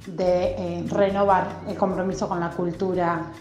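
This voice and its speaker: A woman, a librarian, speaking in a measured way with frequent hesitations about her library. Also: fairly low pitch, little pitch movement, darkish tone, roomy sound, highs cut off, faint music behind. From Argentina. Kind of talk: interview testimony.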